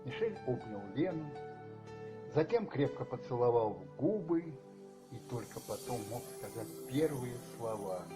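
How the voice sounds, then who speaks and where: A man narrating a radio play as scripted, measured storytelling. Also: medium pitch, big pitch swings, roomy sound, distant mic, music in the background.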